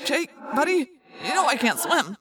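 Woman voicing a high, panicky wounded teenage boy. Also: expressive, measured pace, crowd noise. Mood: frightened, despairing.

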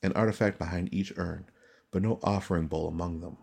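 A man reading dramatic fantasy narration as an audiobook narrator, solemn, measured and suspenseful. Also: low voice, steady tone, vocal fry, darkish tone, husky.

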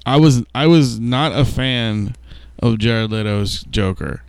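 A man's voice: mid-range voice, takes it slowly, creaky, smooth, dry recording. A man co-hosting a podcast in casual chat, laid-back and sweary, often saying dude and man. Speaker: podcast co-host.

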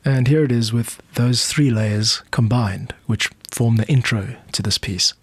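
A South African man hosting a podcast, explaining in a measured way like a music producer walking through his work. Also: mid-range voice, noisy background.

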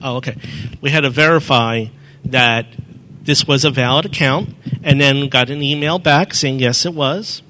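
A man's voice: mid-range voice, moderate pace, pushed and bright. Rambling man explaining a phone demo. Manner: rambling, self-correcting, step-by-step explaining. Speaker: presenter answering audience questions. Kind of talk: live demo talk at a meeting. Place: meeting room with audience. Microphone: handheld mic.

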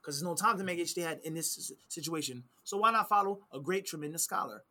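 A man's voice: a little high, fast talker, sharp tone. A man explaining religious principles in a conversation. He is emphatic, repeats key phrases and quotes Arabic texts. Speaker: Islamic teacher answering questions.

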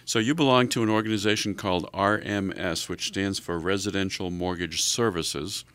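A low-pitched man hosts an interview show. He sums up what his guest has said and follows it with leading questions. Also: fairly even intonation, normal speed, a bit bright, clear, resonant tone. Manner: restates and asks leading questions. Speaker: show host.

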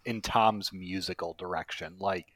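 Man player, low voice, bright tense tone, dry sound, rambling, lots of likes. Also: steady tone, measured pace, dry recording. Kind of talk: casual podcast chat. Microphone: video call.

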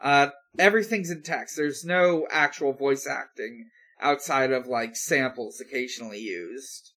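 Slow, halting man recapping casually. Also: medium pitch, pushed and bright. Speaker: podcast host. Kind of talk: casual recap talk with co-hosts.

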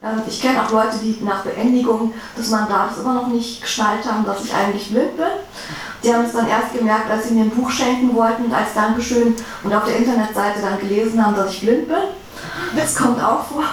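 A woman's voice: on the high side, fairly even intonation, fairly quick. Very echoey, distant woman speaker. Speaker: guest speaker, criminal defense lawyer. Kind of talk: personal talk to a live audience. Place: reverberant indoor event room. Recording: echoey room, distant mic, faint noise.

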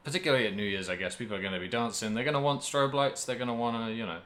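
A man's voice: mid-range voice, racing, fairly bright tone. A man co-hosting a podcast, chatting casually about video games. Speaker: podcast co-host.

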